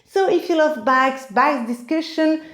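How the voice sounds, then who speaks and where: Female vlogger, French accent, high voice, slow delivery, chatty and enthusiastic, recorded in an echoey room. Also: a bit bright. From France. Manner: chatty, enthusiastic, addresses viewers directly. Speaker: video host, handbag collector. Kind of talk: casual unboxing vlog.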